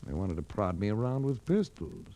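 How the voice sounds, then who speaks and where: An actor playing a wry, tough private investigator in a 1940s radio drama, with an American accent and clipped delivery. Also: male voice, fairly low pitch, big pitch swings, moderate pace, mellow. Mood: wry, probing. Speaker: lead actor and narrator. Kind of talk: 1940s radio detective acting. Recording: vintage 1940s radio recording with music.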